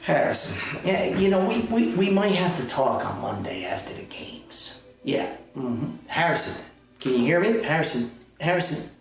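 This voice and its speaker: A man's voice: fairly high pitch, big pitch swings, normal speed, voice pushed up. A man playing a chipper, bumbling, delusional self-styled famous author in a comedy skit, stammering and rambling in a folksy, optimistic way. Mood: flustered. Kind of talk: improvised-sounding monologue comedy skit. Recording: echoey room, far from the mic.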